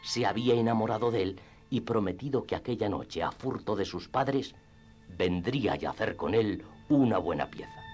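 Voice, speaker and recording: A man narrating classic literature in radio theatre style, with expressive storytelling and deliberate phrasing. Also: lowish voice. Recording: music under the voice. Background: background music.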